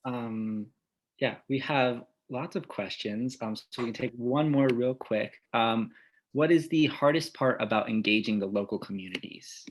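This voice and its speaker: A man's voice: medium pitch, measured pace, low-quality recording. A man moderating a panel Q&A, casual and hesitant with many fillers, reading questions from the chat.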